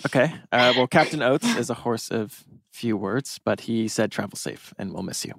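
A man's voice: medium pitch, animated, normal speed, creaky. An actor playing a wry, awkward, talkative teenage boy in a table read of a teen drama. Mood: awkward, affectionate.

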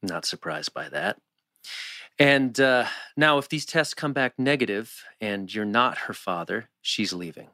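A man playing an earnest, laconic, protective teenage boy in a drama table read, understated and halting. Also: fairly low pitch, expressive, pushed and bright, dry recording, studio quality, exaggerated voice. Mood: earnest, firm.

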